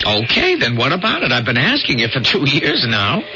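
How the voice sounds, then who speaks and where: An actor playing an earnest, persistent young small-town reporter, folksy and a little hesitant, in an old-time radio drama. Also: male voice, mid-range voice, wildly swooping pitch, moderate pace. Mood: earnest, coaxing. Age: young adult. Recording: muffled, noisy vintage radio broadcast.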